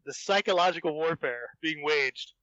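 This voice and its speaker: Guy with a bright highish voice ribbing his friends on a podcast, bit lo-fi sounding. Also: moderate pace, no echo. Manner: teasing, mock-indignant, dry ribbing. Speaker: podcast guest. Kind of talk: casual banter. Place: remote group voice call.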